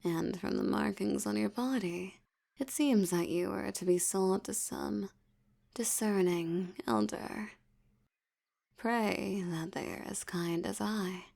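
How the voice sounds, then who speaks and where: Female vampire elder, acted by a woman, audio roleplay: seductive, menacing, languid delivery, creaky mid-pitched voice sinking into breathiness. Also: a little mellow, full character voice. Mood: haughty, predatory. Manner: slow, teasing, menacing, with lingering pauses. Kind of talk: intimate scripted audio roleplay.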